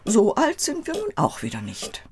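An actress playing an elderly wife in a German radio drama, wistful, fretful and devoted, often in a questioning manner. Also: female voice, fairly high pitch, huge pitch swings, moderate pace, slight background noise. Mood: worried. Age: older. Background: music.

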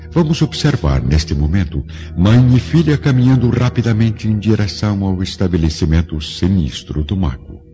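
A man's voice: deep voice, expressive, normal speed, hardly any pauses. A man narrating a scripted radio drama in a solemn, grave and formal style. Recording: dull lo-fi studio drama, music behind.

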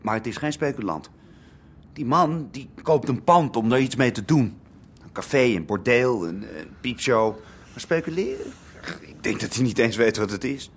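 An actor playing an excitable young squatter, son of a gangster, in a radio play. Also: male voice, mid-range voice, normal speed, breathy edge.

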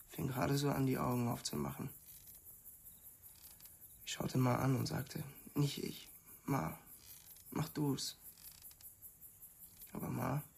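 An actor playing a haunted rural man in a radio play, confessing a childhood memory in a halting, heavy way with a raspy voice. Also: mid-range voice, exaggerated voice.

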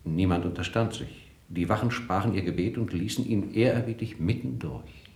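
An actor playing a deferential royal courtier in a classical radio drama, formal and a little hesitant. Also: male voice, low voice, normal speed, vocal fry, a little mellow. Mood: awed, solemn. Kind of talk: classical 1950s German stage-style radio acting. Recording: echoey room.

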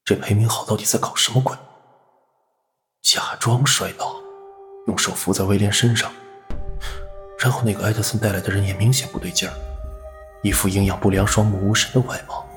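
A man's voice: on the low side, steady tone, a little fast, long pauses, fairly bright tone, faint music behind. A man playing a shrewd, worldly gambling boss in an audio drama, speaking with authority. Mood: suspicious.

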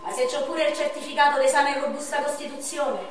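A woman voicing a frail, very thin young man who talks softly and jokes about himself, in a stage drama played on radio. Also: high-pitched, fairly even intonation, fast, recorded from a distance, a little hiss or hum, natural voice. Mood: wry. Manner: soft-spoken, joking self-mockery. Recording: very echoey stage heard from afar.

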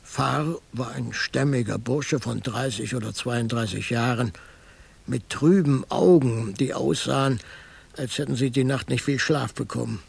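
An actor playing a hardboiled private detective, narrating his case dryly in a gravelly, husky voice for a radio crime drama. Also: medium pitch, unhurried, full character voice. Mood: dry, matter-of-fact.

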